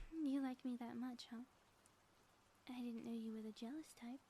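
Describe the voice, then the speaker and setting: A high, mellow female voice acted out by a woman plays a sappy yet theatrical ex-villain wife in an ASMR roleplay. She is breathy and teasing, drifting toward whispers, with faint noise behind her. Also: moderate pace, lightly acted. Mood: fond, teasing. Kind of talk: intimate ASMR audio roleplay.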